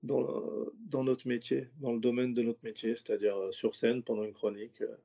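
A man's voice: medium pitch, little pitch movement, normal speed, vocal fry, dark tone. A man who is a stand-up comedian, talking loosely in a casual interview, slangy and rambling with many restarts. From French suburbs.

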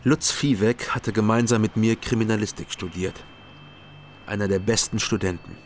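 An actor playing a male former detective who narrates his own story in a measured, dry way, in a radio drama. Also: on the low side, fairly even intonation, a little hiss or hum, lightly acted. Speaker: first-person narrator and detective. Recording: radio play over loud music score.

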